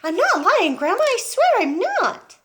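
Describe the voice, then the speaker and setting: A woman doing an excited shouting boy. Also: very high-pitched, a little slow, pitched above the performer's own voice, exaggerated voice. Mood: pleading. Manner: shouting, breathless and eager. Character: excited young boy, eager and boastful.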